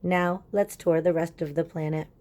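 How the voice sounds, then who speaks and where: A woman's voice: deep voice, level tone, moderate pace, a little mellow, lightly acted. A woman voicing a friendly green alien in a children's storybook, formal and earnest, with stilted speech. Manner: stilted speech naming himself in third person.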